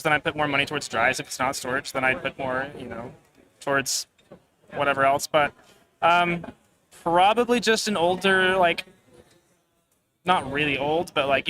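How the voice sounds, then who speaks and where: A young man answering interview questions in a relaxed way, often filling with "like". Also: fairly high pitch, normal speed. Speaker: guest creator. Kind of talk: casual live interview. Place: busy expo floor. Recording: live expo crowd behind.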